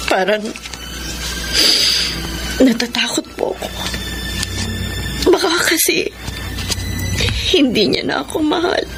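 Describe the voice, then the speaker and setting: Slow, remorseful Filipino mother. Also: high voice, expressive, full character voice, low-quality recording. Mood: regretful, anxious. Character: remorseful mother longing to win back her son. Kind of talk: Tagalog radio drama acting. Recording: music bed under the voice.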